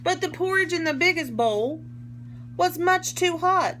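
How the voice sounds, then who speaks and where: A woman reading a picture book aloud to young children like a teacher, expressively, pausing to explain words in simple terms. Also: very high voice, animated, background noise.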